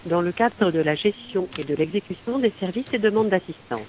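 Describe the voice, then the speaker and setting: A man's voice: sits high, expressive, brisk. A man reading a scripted legal notice as the recorded announcer on a phone line. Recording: dull, hissy phone line.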